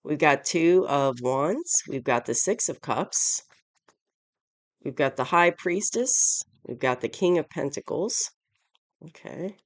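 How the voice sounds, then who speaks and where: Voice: mid-range voice, animated, takes it slowly, no echo. A tarot reader hosting a casual weekly reading with a stuffy, congested voice from allergies and a sore throat, rambling and correcting phrases along the way. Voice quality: stuffy, odd-sounding voice. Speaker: tarot reader, channel host.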